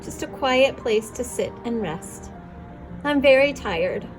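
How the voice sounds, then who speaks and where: A woman's voice: fairly high pitch, animated, takes it slowly, over music, rough recording. A woman voicing a weary, demanding boy who grows old, in a grumbling storybook manner.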